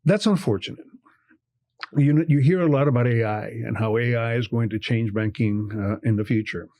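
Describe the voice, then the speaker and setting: An older man from Cuba, a bank executive, speaks with steady passion in a podcast interview. He stresses his points by repeating words, at an ordinary mid pitch. The sound is dry and close. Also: normal speed, dry recording.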